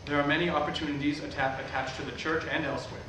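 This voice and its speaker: An earnest, world-weary preacher acted by a man in a staged play. His voice sits a little high and comes from far off in a very reverberant hall, with clear noise in the recording. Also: level tone, rapid-fire, lightly acted. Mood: earnest preaching. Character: earnest, weary male megachurch pastor. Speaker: actor in a staged reading. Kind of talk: staged play reading, sermon and dialogue. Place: theatre at a live staged reading.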